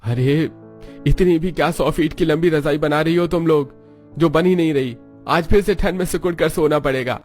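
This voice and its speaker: A man's voice: fairly high pitch, moderate pace, faint music behind, voice pushed up, lightly acted. A man voicing a poor, hardworking family man in a cartoon story. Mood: complaining. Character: poor hardworking husband and father, plain-spoken.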